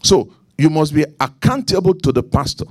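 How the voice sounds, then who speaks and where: A middle-aged man with a Nigerian accent preaching a sermon, repeating key lines, leaning into emphatic points and then dropping low for quiet asides. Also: very animated, normal speed, dry recording, clean studio sound. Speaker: pastor.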